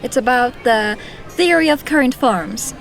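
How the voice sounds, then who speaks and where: A woman's voice: fairly high pitch, lively intonation, a little slow, faint music behind, poor audio. An actress playing a guarded, hesitant young commoner woman in a fantasy audio drama, careful yet direct. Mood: guarded, urgent.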